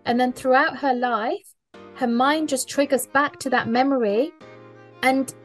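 Earnest woman, higher voice. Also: fairly even intonation, measured pace, faint music behind. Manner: earnest, emphatic, repeats key phrases. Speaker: mindset coach and hypnotherapist. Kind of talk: conversational podcast talk.

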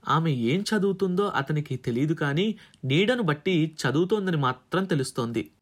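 A man reading a story as a scripted, expressive audiobook narration with a dramatizing delivery. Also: a little high, normal speed. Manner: dramatizes dialogue between characters. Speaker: audiobook narrator, radio jockey.

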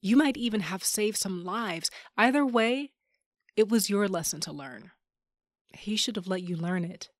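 A woman's voice: mid-range voice, measured pace, no room sound, clean studio sound, close to an everyday voice. A woman playing a wry, loyal, rule-breaking sister in a sci-fi audio drama, casual and frank. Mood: frank, steady.

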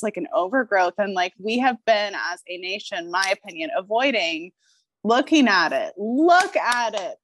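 Animated woman guest talking astrology. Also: mid-range voice, no room sound. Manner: animated, opinionated, swears, lots of filler likes. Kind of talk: casual podcast chat.

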